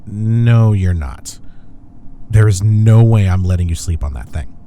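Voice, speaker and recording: A man's voice: fairly low pitch, unhurried, mellow, slight background noise, natural voice. A young man acting as a smug, teasing office rival in an intimate roleplay audio. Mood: exasperated, teasing. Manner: teasing, playful banter. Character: teasing, smug young male office rival softening toward his coworker.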